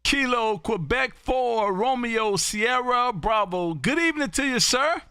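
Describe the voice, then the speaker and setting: An American man calling out as an amateur radio live streamer, loud and showy, often repeating his phrases. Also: extremely high pitch, lively intonation, unhurried, smooth, no echo, studio quality. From Texas. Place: home radio room.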